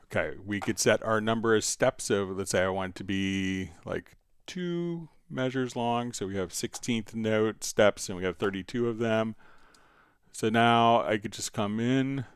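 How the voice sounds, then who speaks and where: A man with a slightly low, smooth voice hosts a casual software tutorial. He explains each step in an easygoing way. The sound is dry and close, with some music behind him. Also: unhurried, no room sound. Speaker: live stream host and music software instructor. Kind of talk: casual software tutorial, answering viewer questions.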